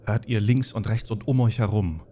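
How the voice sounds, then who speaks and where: A man running a tabletop game as game master, explaining and narrating in a deliberate way. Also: fairly low pitch, mellow, a little hiss or hum.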